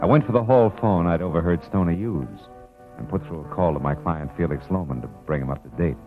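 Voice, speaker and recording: A low, muffled American male voice from an old radio thriller, with music behind it. a tough, world-weary private eye. He is wry, clipped and hardboiled, whether narrating or trading lines. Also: brisk. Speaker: lead actor and narrator. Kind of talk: 1940s-50s radio noir acting, hardboiled narration.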